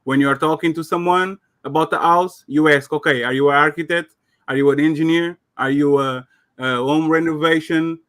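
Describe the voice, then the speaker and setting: A man's voice: fairly high pitch, fairly even intonation, normal speed, fairly bright tone, dry recording, clean studio sound. A man from Portugal with a Portuguese accent, calling in and arguing insistently in broken English. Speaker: caller. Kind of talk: heated call-in debate.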